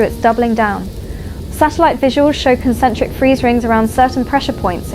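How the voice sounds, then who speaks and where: A fairly high female voice of an actress plays a troubled scientist briefing a news audience. She is sober and explanatory, with clear ambient noise in the recording. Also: natural voice. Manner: grave, explanatory. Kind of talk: expert briefing on news broadcast.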